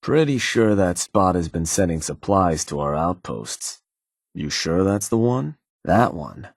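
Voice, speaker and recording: An actor playing a raider crew lieutenant who asks slow, doubtful questions. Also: male voice, deep voice, sing-song, creaky, dry recording, heavily acted. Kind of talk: game voice acting. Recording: dry studio recording.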